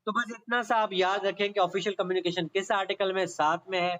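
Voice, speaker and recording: High-pitched Pakistani man lecturing. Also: flat delivery, a little fast, fairly bright tone. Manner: repetitive, checks understanding often. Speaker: law lecturer. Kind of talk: explanatory exam-prep lecture, reading aloud then explaining.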